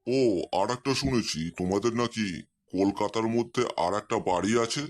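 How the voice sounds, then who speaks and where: A man's voice: fairly low pitch, normal speed, natural voice. Man doing a nosy genial older uncle, comedy cartoon, super easily impressed. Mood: impressed, inquisitive. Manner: approving, inquisitive, repeats exclamations. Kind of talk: comic cartoon dialogue.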